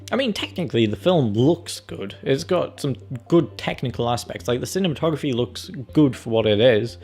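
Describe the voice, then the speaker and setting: A man's voice: mid-range voice, vocal fry. A man giving casual, opinionated video commentary as a review vlogger, in a rambling, conversational way. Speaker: film and game review vlogger.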